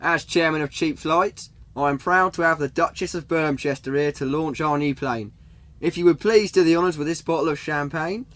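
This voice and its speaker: A man's voice: on the high side, moderate pace, a bit bright, natural voice. A man playing a pompous, fussy and easily exasperated airline chairman in a radio comedy. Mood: pompous politeness.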